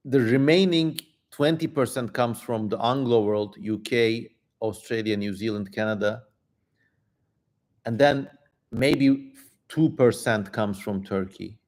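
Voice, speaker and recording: A man speaks as the host of a casual livestream. His voice sits at a medium pitch. He talks informally, with a wry edge, and drifts into asides as he goes.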